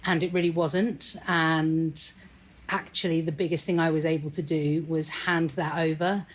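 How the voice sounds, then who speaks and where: Low-voiced British woman, faint hiss. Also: steady tone, unhurried. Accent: British English. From Great Britain. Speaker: podcast guest. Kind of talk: casual interview.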